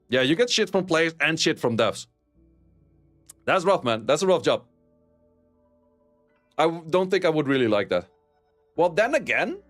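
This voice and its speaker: A man's voice: fairly high pitch, fairly bright tone. A man with a Dutch accent chatting casually with his stream viewers, blunt and sweary, laughing often. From Netherlands. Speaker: streamer and esports caster. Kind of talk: casual live-stream chat reading viewer messages.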